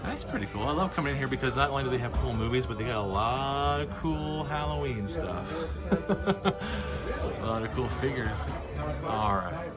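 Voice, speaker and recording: A man's voice: medium pitch, animated, moderate pace, pauses often, loud music behind the voice. An American man hosting a vlog with casual, chatty commentary in an informal, jokey, incredulous manner. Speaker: vlog host.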